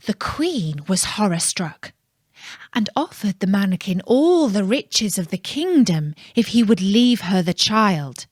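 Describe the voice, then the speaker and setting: A woman narrating a fairytale for children in a calm, measured, scripted storytelling style. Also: medium pitch, breathy edge, dry recording, studio quality.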